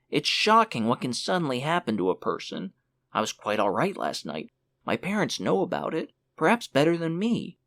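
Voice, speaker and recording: Man acting a flustered young clerk, swinging pitch. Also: no room sound. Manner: hurried, excuse-laden, apologetic. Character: flustered, pleading young male clerk, anxious to please. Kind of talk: audiobook character voice.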